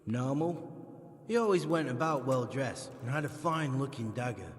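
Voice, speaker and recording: A man's voice: mid-range voice, lively intonation, moderate pace, lightly acted. A man playing a wary, menacing criminal recruiter in a video game, curt, sardonic and coarse in manner. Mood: dry, instructing. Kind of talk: dramatic video game cutscene acting. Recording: reverberant hall echo.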